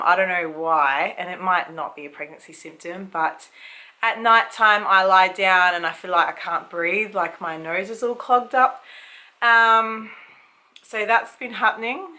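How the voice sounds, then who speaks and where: A woman in her thirties with an Australian accent, a little croaky from a cold, chatting casually to camera about her pregnancy with many ums and likes. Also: medium pitch, pushed and bright. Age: 30s. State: recovering from a cold, slight cough. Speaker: vlogger. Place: family home.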